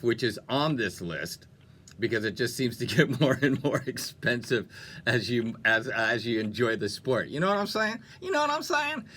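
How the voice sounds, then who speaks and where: A man's voice: mid-range voice, pushed and bright. A man presenting a music radio show in an upbeat, chatty and playful style with casual, jokey asides. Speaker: radio DJ and host. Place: radio studio.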